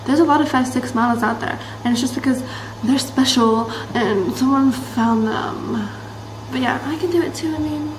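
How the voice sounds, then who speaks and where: A teenage girl from Massachusetts chatting to her webcam in a bright room, rambling and bubbly with lots of fillers. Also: sits high, normal speed. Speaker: vlogger. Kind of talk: casual chat to camera. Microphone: built-in camera mic, close webcam framing. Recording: roomy echo, faint background hiss.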